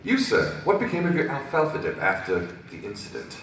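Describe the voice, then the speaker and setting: A man's voice: mid-range voice, wildly swooping pitch, brisk, fairly bright tone, very echoey, recorded from a distance, slight background noise, voice pushed up. A man voicing a prim, anxious female pony lawyer with refined, formal and indignant diction at a script reading. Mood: probing.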